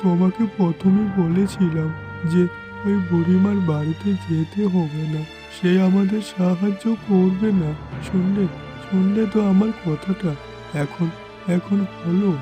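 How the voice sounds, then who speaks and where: A man voicing a poor, desperate village farmer in a cartoon, pleading and haltingly repeating his words. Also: sits high, fairly even intonation, music in the background. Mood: worried, dejected.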